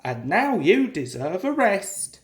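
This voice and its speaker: A narrator plays an earnest railway fireman from a storybook. He is a plain-spoken man who exclaims with lively jumps in pitch. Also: slow pace. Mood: admiring.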